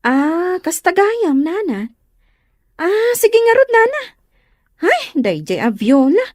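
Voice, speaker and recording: Polite young woman, acted by a woman, very high voice, lively intonation, nervous, comic radio drama. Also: slow, dry recording, clean studio sound, heavily acted. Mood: polite, eager. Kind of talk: comedy radio drama acting in Ilocano.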